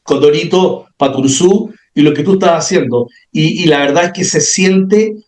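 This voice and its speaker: A man's voice: fairly high pitch, normal speed, darkish tone. Male interview host, engaged and encouraging, recorded in a reverberant room. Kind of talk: interview conversation.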